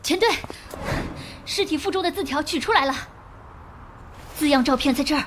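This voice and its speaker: Woman acting high-voiced young police officer. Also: normal speed, background noise, lightly acted. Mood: earnest. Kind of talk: animated drama voice acting. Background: music.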